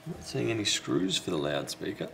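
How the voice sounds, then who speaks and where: A man's voice: sits low, sing-song, a little fast, creaky, fairly bright tone, slight background noise. A man giving casual hands-on repair commentary as a technician, thinking aloud tentatively and correcting himself as he works. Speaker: repair technician, video host. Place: indoors at a repair workbench.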